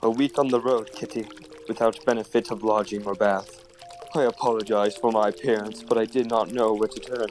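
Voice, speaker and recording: A man playing an earnest nineteenth-century gentleman inventor with formal, old-fashioned diction in a comic dub. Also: lowish voice, fairly even intonation, fairly quick, faint music behind, lightly acted. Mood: earnest, weary.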